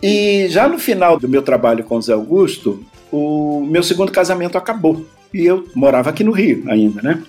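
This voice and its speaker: A man, a musician telling his career story in a relaxed, chuckling way. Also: a little high, moderate pace, hardly any pauses, faint music behind. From Rio de Janeiro, Brazil. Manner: relaxed, chuckling, repeats phrases for emphasis. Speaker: guest musician. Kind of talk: casual storytelling interview.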